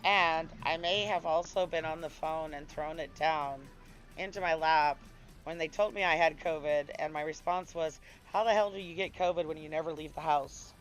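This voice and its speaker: A woman streamer with a hoarse voice, chatting casually with viewers and a co-player while gaming. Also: low voice, normal speed, soft background music, low-quality recording. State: hoarse, recovering lost voice.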